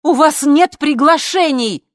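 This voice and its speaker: A woman voicing a bossy, harried female organiser who barks sharp commands. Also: high-pitched, a little slow, dry recording, studio quality, pitched above the performer's own voice. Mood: furious. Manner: commanding, sharp-tongued, shouting.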